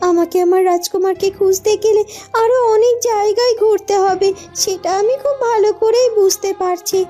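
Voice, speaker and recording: Woman acting young cartoon heroine, tiny very high voice, mellow, weary, determined, earnest, light music behind. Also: a little fast. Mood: weary, worried. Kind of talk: cartoon dubbing, melodramatic fairy tale.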